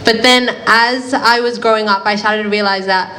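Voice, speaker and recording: A woman's voice: a little high, fairly even intonation, moderate pace, pushed and bright. A young woman from Finland telling a personal story on a panel, hesitant, with many fillers. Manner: hesitant, many likes and ums.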